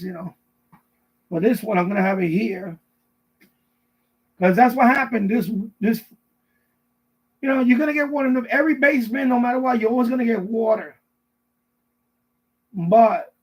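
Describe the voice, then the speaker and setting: A middle-aged man with a husky voice chats casually on a live stream, rambling and repeating himself as he answers viewers. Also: high voice, low-quality recording. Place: basement at home.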